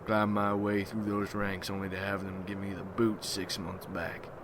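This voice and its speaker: A man playing a gruff, ruthless, wary vampire hunter in an ASMR roleplay, with a folksy, brooding, rough-spoken manner. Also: deep voice, completely flat delivery, moderate pace, natural voice. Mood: bitter. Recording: noisy ambience behind the voice.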